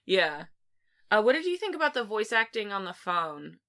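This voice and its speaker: A woman's voice: medium pitch, very animated, normal speed, a bit bright. A woman co-hosting a podcast in casual film discussion, repeating and correcting herself as she explains her points. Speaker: co-host of a horror film review podcast.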